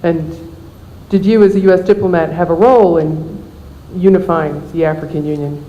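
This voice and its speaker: A woman's voice: lowish voice, fairly even intonation, normal speed, warm tone. A woman in the audience politely asking the speaker a follow-up question. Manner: polite, inquiring. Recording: echoey, muffled room recording.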